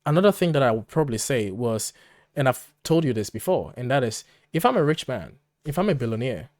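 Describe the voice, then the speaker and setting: A man's voice: mid-range voice, big pitch swings, fairly quick, darkish tone, dry recording, clean studio sound. A man co-hosting a podcast, making his case conversationally with blunt emphasis and rhetorical questions. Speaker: podcast co-host. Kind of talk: conversational commentary, arguing a point.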